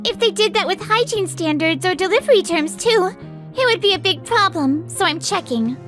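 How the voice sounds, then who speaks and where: A woman's voice: very high-pitched, expressive, moderate pace, a bit bright, faint music behind. A woman voicing an earnest, easily flustered young businesswoman in a romantic comedy manga dub. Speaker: voice actor.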